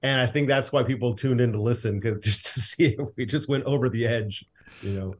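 A man's voice: medium pitch, a little fast, slightly rough. Easygoing laughing male interview guest. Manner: easygoing, laughs often, storytelling. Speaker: guest, director and writer. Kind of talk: casual interview.

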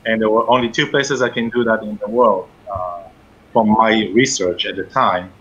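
Low husky man with an Israeli accent. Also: fairly even intonation, moderate pace, a bit bright, dull audio. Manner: careful, hesitant, explains with many fillers. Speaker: guest, fitness trainer and shiatsu practitioner. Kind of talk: casual interview.

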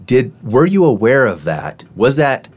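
A man hosting a podcast interview, thoughtfully paraphrasing his guest in a measured way. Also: medium pitch, sing-song, darkish tone, slightly rough. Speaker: podcast host. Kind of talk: interview podcast, calm reflective.

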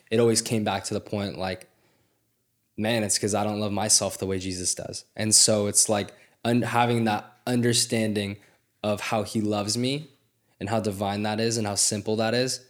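Casting note: male podcast host, low and even voice, reflective, affirming. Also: moderate pace. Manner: affirming, reflective, frequent "mm-hmm". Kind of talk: casual faith conversation.

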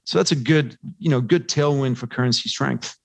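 A man's voice: mid-range voice, normal speed, vocal fry, dry recording. A man giving conversational financial commentary as an interview guest, talking discursively and posing rhetorical questions. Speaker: podcast guest, investment research analyst.

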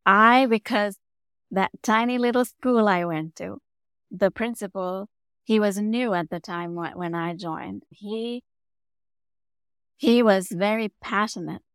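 A middle-aged woman from Sri Lanka with a Sri Lankan accent, a native Tamil speaker, telling her story as an interview guest and growing animated as she goes. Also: takes it slowly, clear, resonant tone, no room sound, studio quality. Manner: animated, rising in pitch and volume when telling a story. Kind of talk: conversational storytelling.

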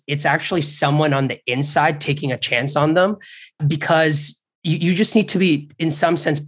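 A young man from Bangladesh hosts a podcast, talking earnestly and at length in an easy conversational way. His voice runs slightly high for a man. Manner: earnest, appreciative, talkative. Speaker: podcast host.

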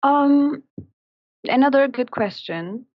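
A woman in her thirties from Turkey, speaking English with a Turkish accent as a podcast guest, in a halting way full of fillers as she searches for words. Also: sits high, lively intonation, no room sound, dull audio. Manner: halting, searching for words, many likes and ums. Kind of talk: casual interview chat.

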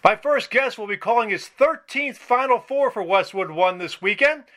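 A man hosting a sports podcast interview, speaking somewhat haltingly as he puts his questions. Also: very high voice, animated, sharp tone.

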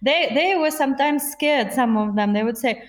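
A woman's voice: high-pitched, animated, normal speed, reverberant. A woman with a Russian accent, a voice coach talking earnestly in an interview and laughing often. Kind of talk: podcast interview.

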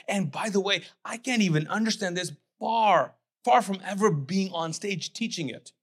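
A middle-aged man, raised in Kuwait, with English as neither his first nor second language, preaches from a church stage. His voice sits a bit on the high side. He keeps it chatty, acts out the voices of imagined people and stops to get the room to answer. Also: a bit bright. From Pakistani family, raised in Kuwait. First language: not English. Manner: conversational preaching, quoting imagined voices, asks the crowd to answer. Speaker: pastor. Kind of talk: sermon.

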